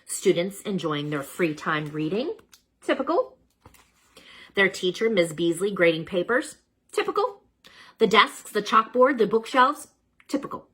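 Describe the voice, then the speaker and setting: Animated woman reading a children's storybook. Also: medium pitch, a little slow, pauses often, breathy edge, rough recording. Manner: dramatic, expressive, chatty asides to listeners. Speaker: read-aloud storyteller.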